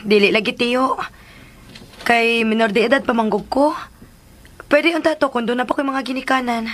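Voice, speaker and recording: A woman's voice: a little high, steady tone, moderate pace, fairly bright tone. A woman acting a respectful seventeen-year-old girl eager to marry, in a Filipino radio drama with a Filipino accent. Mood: earnest. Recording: faint background noise.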